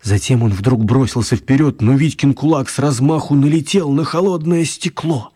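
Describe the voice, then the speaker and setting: A male narrator for a children's radio drama, with a slightly husky, mid-pitched voice. He tells the story theatrically, stretching words for dramatic emphasis. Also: moderate pace, dark tone.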